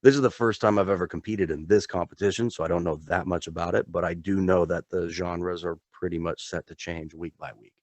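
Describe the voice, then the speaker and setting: A man co-hosting a podcast, chatting in a relaxed, easygoing way and often calling his friend dude. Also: low-pitched, a little fast, hardly any pauses, no room sound. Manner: laid-back, chatty, says dude often. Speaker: podcast co-host. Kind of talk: casual chat.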